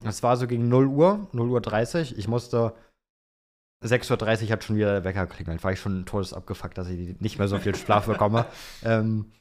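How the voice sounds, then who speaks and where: A man's voice: fairly low pitch, moderate pace, a little mellow. A young adult man co-hosting a podcast in casual, slangy conversation, repeating phrases for emphasis. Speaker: podcast co-host.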